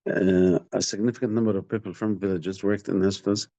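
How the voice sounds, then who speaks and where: A Palestinian man with an Arabic accent, speaking as an activist in a live online question session, halting and often repeating his words. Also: low-pitched, fairly even intonation, dark tone, dry recording. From Palestinian West Bank. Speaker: activist, director of a community rights organisation. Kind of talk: live online talk answering audience questions. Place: online video call. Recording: dull, lo-fi online stream sound.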